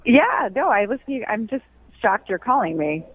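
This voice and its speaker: A woman's voice: a little high, big pitch swings, moderate pace, slight background noise. A woman answering a surprise radio phone call, hesitant and caught off guard. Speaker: person called by the show. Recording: tinny phone line.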